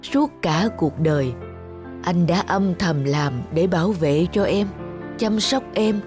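An ageing widow in a cartoon drama, acted by a woman, with a lowered voice. She is tender and melancholy, full of devotion, over clear background music. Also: lively intonation, slow pace, a little mellow. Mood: tender, nostalgic. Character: ageing widowed mother, sad, devoted to late husband.

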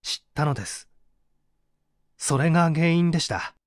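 A man's voice: fairly high pitch, expressive, normal speed, no room sound, studio quality, lightly acted. Man acting a subdued, evasive murder suspect. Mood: subdued, resigned. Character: subdued, evasive male painter under interrogation for murder. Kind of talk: dramatised reading of a mystery story.

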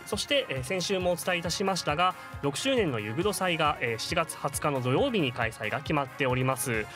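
A man's voice: fairly high pitch, expressive, brisk. A man giving polite, formal news announcements as a staff presenter on a web radio show. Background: prominent music.

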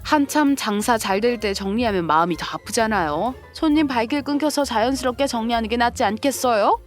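A woman's voice: a little high, brisk, faint music behind, close to an everyday voice. A woman playing a composed, sarcastic sister-in-law and protective mother in a scripted audio drama. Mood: coldly vengeful.

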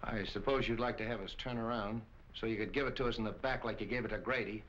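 An actor playing a brusque, sardonic police captain in a 1940 crime film. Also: male voice, on the low side, steady tone, a bit bright, lightly acted. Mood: defiant, sardonic. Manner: brisk, sardonic. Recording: dull, noisy 1940 film soundtrack.

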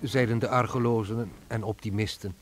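A man's voice: lowish voice, fairly even intonation, moderate pace. A man narrating a radio drama in a scripted, dry and ironic way.

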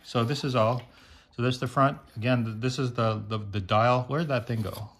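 A man hosts a casual hands-on teardown, talking his way through each step. His voice sits at a middling pitch, and he explains as he figures things out. Clear noise and clicking run behind him. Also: fairly even intonation, fairly quick. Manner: thinking aloud, explanatory. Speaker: host taking apart electronics. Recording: noisy hobby recording, clicks and handling.

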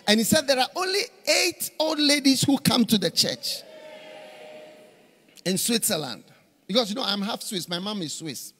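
A man's voice: extremely high pitch, moderate pace, slightly rough. A middle-aged man preaching at a conference on a stage, telling stories with many repeated phrases, speaking into a handheld mic. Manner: animated storytelling with repeated phrases. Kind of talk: conference sermon. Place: large church stage with hall reverb. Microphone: handheld microphone. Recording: reverberant hall.

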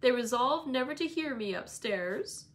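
A woman's voice: high-pitched, lively intonation, pitched above the performer's own voice. A woman doing a whiny sickly young man. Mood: fretful. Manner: whining, fretful, slow and plaintive. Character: sickly peevish petulant young man, invalid.

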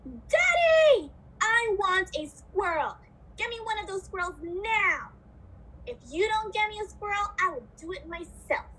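A woman's voice: very high-pitched, very animated, measured pace, bright, forceful tone, exaggerated voice, poor audio. A woman voicing a spoiled, demanding little rich girl in a puppet show, whiny and insistent.

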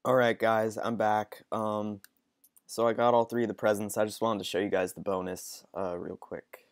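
A sniffly young man with a cold, rambling through casual game commentary with frequent ums and restarts. His voice sits a bit low and sounds close and dry. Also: little pitch movement, normal speed, no echo. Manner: rambling, hesitant, lots of ums. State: stuffy with a cold. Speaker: gaming channel host. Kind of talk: casual let's-play commentary.

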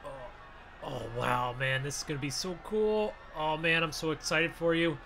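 An American man hosting a gaming roleplay video, exclaiming in casual, excited commentary. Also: on the high side, moderate pace. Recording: clear noise, vehicle ambience behind.

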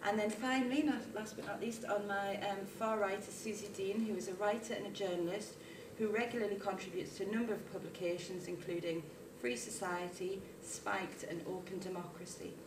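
A woman's voice: mid-range voice, steady tone, a little fast, few pauses. A woman chairing a public debate, introducing the panel speakers in a seminar room. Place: small seminar room, indoors. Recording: roomy, noisy, distant microphone.

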